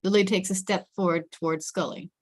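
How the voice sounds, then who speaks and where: A woman with a slightly low voice reads screenplay directions aloud. It is scripted narration, describing action and camera moves as part of a table read. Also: fairly even intonation, fairly quick. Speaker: stage directions reader.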